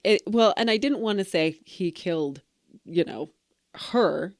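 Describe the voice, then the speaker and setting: A woman's voice: medium pitch, expressive, a little slow, dry recording, studio quality. A woman co-hosting a podcast, chatting casually and playfully in a rambling, trailing-off way. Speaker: podcast co-host. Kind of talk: casual banter retelling fairy tales.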